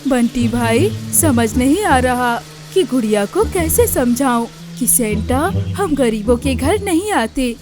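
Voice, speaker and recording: A woman's voice: sits high, expressive, takes it slowly, hardly any pauses. A woman voicing a poor, devoted father bird, earnest and reassuring, in a Hindi cartoon story. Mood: earnest, worried. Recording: music behind the voice.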